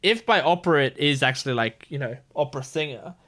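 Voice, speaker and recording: A man's voice: on the high side, animated, fast talker, a bit bright. A man hosting a reaction video, casually thinking aloud in loose, rambling commentary.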